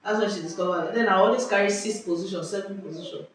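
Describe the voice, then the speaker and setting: A young Nigerian woman telling school stories in casual chat at home, lively and exclamatory, often breaking off mid-sentence. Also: medium pitch, racing. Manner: lively, exclamatory, self-interrupting. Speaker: vlogger. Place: indoors at home. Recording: echoey room, distant mic, faint hiss.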